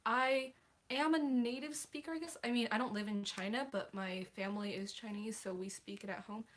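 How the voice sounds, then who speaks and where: Teen girl livestreaming, kinda high voice, chatty and hesitant, says sorry a lot. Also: fairly even intonation, brisk. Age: teens. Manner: chatty, apologetic, hesitant with frequent ums. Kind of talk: casual livestream chat answering viewer questions.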